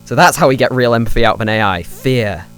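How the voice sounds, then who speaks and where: A man's voice: medium pitch, lively intonation, fast talker, fairly bright tone, soft background music, lightly acted. An actor playing an effusive, money-minded male tech boss in an audio drama, speaking in a businesslike way. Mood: impressed, greedy. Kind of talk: naturalistic audio drama acting.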